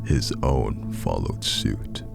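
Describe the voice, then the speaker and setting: A man reading a scripted horror story as narrator, in a measured, dramatic way. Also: rumbling low, lively intonation, vocal fry. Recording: music behind the voice.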